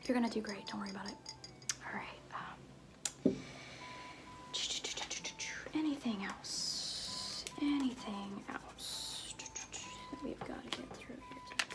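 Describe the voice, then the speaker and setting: Woman playing a half-whispering, slow-talking flirty circus fool. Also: on the high side, huge pitch swings, heavily acted. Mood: breezy, offhand. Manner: halting, offhand, teasing asides. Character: flirty, glib circus fool and sham fortune-teller, playfully evasive. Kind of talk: ASMR roleplay, half-whispered soft speech.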